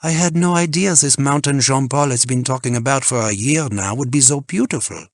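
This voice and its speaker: A man voicing a calm, elegant Parisian woman in an audiobook. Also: mid-range voice, a little fast, dry recording, clean studio sound, voice pushed up, close to an everyday voice. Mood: calm admiration.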